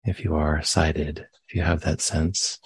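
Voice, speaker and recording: A man leading a guided meditation as a teacher, speaking gently and unhurriedly with long pauses. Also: a bass voice, flat delivery, gravelly, husky, no room sound.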